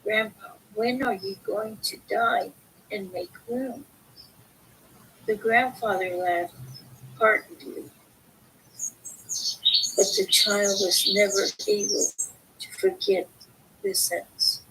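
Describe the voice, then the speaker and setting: Female book reader, mid-pitched and creaky, halting delivery, light noise and rumble on the recording. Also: pushed and bright, rough recording. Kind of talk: slow read-aloud of book excerpts. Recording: faint background noise, low rumble.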